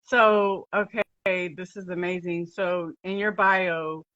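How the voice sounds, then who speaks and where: A woman host with a bright, forceful voice asks questions eagerly, chatty and casual in her wording. The sound is dry and close, with a dull, lo-fi edge. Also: on the low side, steady tone, slow, no echo. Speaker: podcast host. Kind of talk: casual interview.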